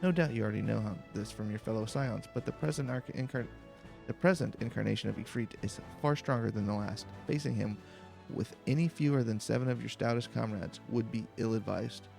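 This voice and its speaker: A man reading video game dialogue aloud in a calm, slow, deliberate way for a let's play. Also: fairly low pitch, vocal fry. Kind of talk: calm, slow-paced read-aloud narration. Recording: faint background music. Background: faint game music.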